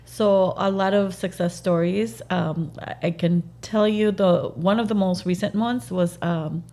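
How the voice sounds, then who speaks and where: A woman realtor, a native Spanish speaker, talks earnestly in a casual interview, pausing often on fillers. Her voice sits low and dark, with a gravelly edge. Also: steady tone. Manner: earnest, halting with frequent fillers.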